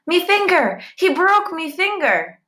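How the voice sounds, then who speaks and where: A woman voicing a young schoolboy troublemaker who protests in a loud, aggrieved whine. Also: very high-pitched, sing-song, unhurried, voice pushed up, exaggerated voice. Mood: whining, aggrieved.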